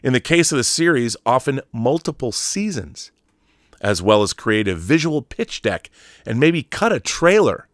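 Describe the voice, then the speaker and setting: A man's voice: medium pitch, no room sound, studio quality. A man hosting an online show, reading an article aloud in an emphatic storytelling way and adding explanatory asides.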